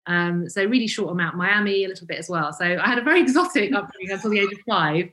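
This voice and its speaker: A woman's voice: mid-range voice, lively intonation, quick pace, fairly bright tone, slight background noise. A woman, a guest in an interview, speaking in a self-deprecating, hesitant way with many ums and restarts. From England (Cambridgeshire). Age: 40s. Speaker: guest, founder of a vegan brand. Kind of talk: reflective interview conversation.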